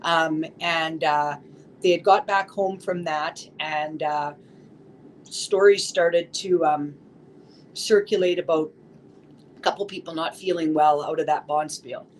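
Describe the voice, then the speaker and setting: Low-voiced woman, steady telling. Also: slow, slight background noise, rough recording. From Saskatchewan, Canada. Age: 40s. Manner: steady, with frequent fillers. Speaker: office administrator interviewee. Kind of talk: interview recollection.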